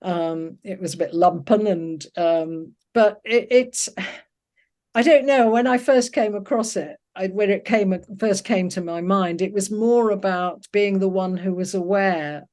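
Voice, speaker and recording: An older woman talking reflectively in an interview, thoughtful and often pausing to search for her words. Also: medium pitch, a little mellow. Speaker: interviewed poet.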